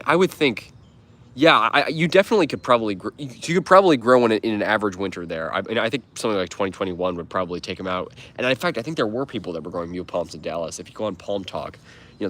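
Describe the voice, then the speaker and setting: A man's voice: fairly low pitch, a little hiss or hum. A man with a stuffed-up cold voice, chatting casually on a livestream, rambling with lots of fillers and restarts. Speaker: livestream host.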